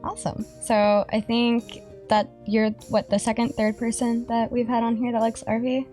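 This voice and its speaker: A fairly high-voiced woman hosts a gaming podcast with a bubbly, giggly manner. She rambles a bit and fills the gaps with ums. Faint music plays softly behind her. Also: little pitch movement, normal speed. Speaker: podcast co-host. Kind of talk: casual podcast chat.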